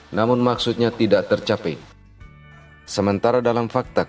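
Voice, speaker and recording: Indonesian male host, lower voice, formal argumentative commentary over soft music. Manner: formal, lecturing tone. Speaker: reaction channel host.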